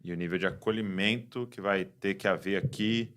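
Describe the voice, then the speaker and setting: A Brazilian man hosting a podcast, talking in a relaxed, affirming, conversational way. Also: fairly low pitch, normal speed. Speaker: podcast host. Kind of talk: casual interview talk.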